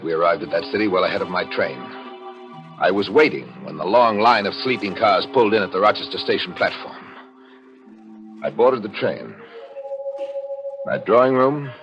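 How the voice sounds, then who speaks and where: An actor playing a coldly scheming husband in a 1940s radio thriller, deliberate, menacing and smugly self-satisfied. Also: male voice, low voice. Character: coldly scheming husband confessing his perfect murder. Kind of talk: 1940s radio thriller acting and first-person narration. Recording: dull old radio recording, music behind.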